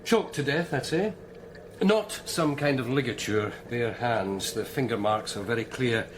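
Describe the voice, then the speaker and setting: An actor playing a wry senior Scottish forensic pathologist in a radio drama, speaking in a measured, clinical way. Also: male voice, mid-range voice, lightly acted. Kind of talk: naturalistic radio drama acting. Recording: clearly noisy background.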